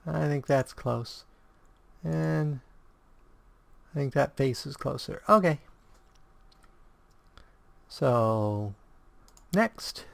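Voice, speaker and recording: A man with a sore throat and strained voice hosting a casual game walkthrough, explaining choices in a halting way and correcting himself. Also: mid-range voice, lively intonation, gravelly, dry recording. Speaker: video game guide host.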